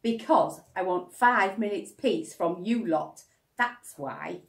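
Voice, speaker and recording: A woman's voice: medium pitch, lively intonation, slow, echoey room, recorded from a distance, pitched above the performer's own voice. A woman voicing a weary, exasperated mother, firm and sighing, in a storybook reading. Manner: firm, sighing, long-suffering.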